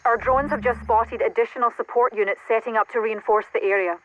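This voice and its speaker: A woman playing a composed military intelligence operator in a video game, briefing in a formal, measured way. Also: a little high, steady tone, phone-quality sound, lightly acted. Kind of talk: video game cutscene acting.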